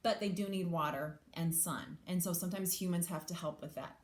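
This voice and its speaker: A woman's voice: lowish voice, steady tone, fairly quick, slight background noise. A woman teacher reading a picture book aloud to children, adding simple explanations and questions for her young listeners. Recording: echoey room sound.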